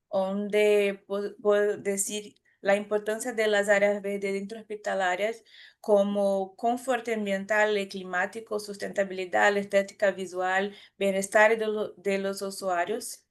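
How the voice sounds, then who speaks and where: A woman with a Brazilian Portuguese accent, a native Portuguese speaker, giving a conference talk in a careful, halting way with frequent restarts and self-corrections. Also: mid-range voice, level tone. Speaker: academic presenter.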